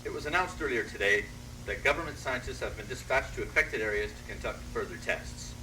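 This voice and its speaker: A man playing a grave medical official who gives a sober, deliberate press statement. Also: mid-range voice, bright, forceful tone, recorded from a distance, slight background noise, rough recording.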